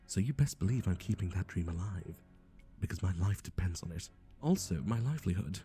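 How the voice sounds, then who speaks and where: A man's voice: sits low, animated, brisk, warm tone, faint music behind. A man playing a chatty, nervously cheerful, shady matchmaker in an ASMR roleplay, with a theatrical, sardonic delivery and hesitant asides. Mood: sardonic, insistent.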